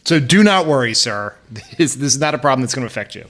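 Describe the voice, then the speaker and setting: A man's voice: medium pitch, lively intonation, fast talker, fairly bright tone. An American man co-hosting a podcast, making casual, blunt and sardonic political commentary. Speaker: podcast co-host.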